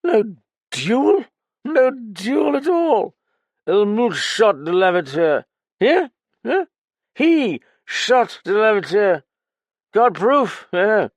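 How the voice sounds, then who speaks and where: A man's voice: sits high, very animated, slow pace, no room sound, studio quality, pitched above the performer's own voice, heavily acted. A man voicing a drunken, terrified older male pianist who talks in slurred, clipped fragments and pleads. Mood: frantic. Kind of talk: audiobook character voice.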